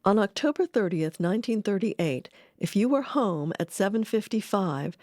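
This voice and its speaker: A woman reading scripted documentary narration in a measured, articulate way. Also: medium pitch, lively intonation, a little mellow, no room sound, studio quality.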